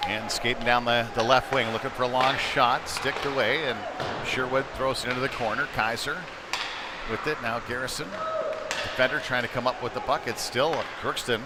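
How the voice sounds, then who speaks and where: Male hockey play-by-play commentator, mid-pitched voice, conversational and clipped phrasing, live broadcast with clear rink noise behind him.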